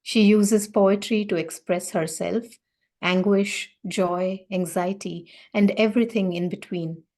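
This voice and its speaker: A woman's voice: mid-range voice, a little mellow. A woman hosting a poetry night who introduces a featured poet in an earnest way, with many filler words. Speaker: event host and poet.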